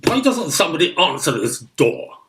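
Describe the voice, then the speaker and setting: An actor playing a pompous, irritable older guardian uncle in a Victorian farce, stiff and indignant. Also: male voice, fairly high pitch, very animated, normal speed, a bit bright. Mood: pompous impatience. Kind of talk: Victorian farce radio acting.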